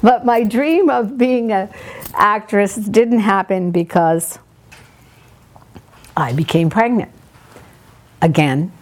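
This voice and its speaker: An older woman, a poet whose first language is Polish, telling her life story in a thoughtful, halting way with pauses. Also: mid-range voice. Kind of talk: reflective personal storytelling.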